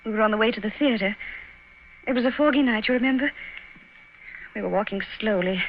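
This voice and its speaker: Actress for genteel fiancée, radio drama: light higher voice, earnest and devoted, faintly noisy vintage recording. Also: female voice, a little fast, highs cut off, close to an everyday voice. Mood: hesitant, recalling. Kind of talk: 1950s radio drama acting.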